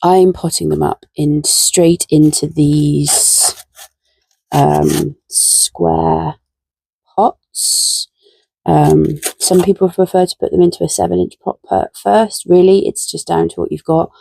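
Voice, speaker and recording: A woman talks in a relaxed, chatty way while she works, her croaky voice gravelly with fry. Her tone is mellow and slightly husky, and the sound is dry and close. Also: sits low, dry recording, rough recording. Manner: relaxed, chatty, self-deprecating. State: croaky, tired voice. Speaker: gardening vlogger. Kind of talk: casual chat while gardening. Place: garden shed and greenhouse.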